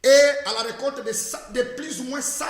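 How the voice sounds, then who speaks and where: A man's voice: very high-pitched, fairly even intonation, moderate pace. A man with a Congolese accent speaking as a political panel guest in a formal, declamatory way. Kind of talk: political debate interview. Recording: reverberant hall-like room.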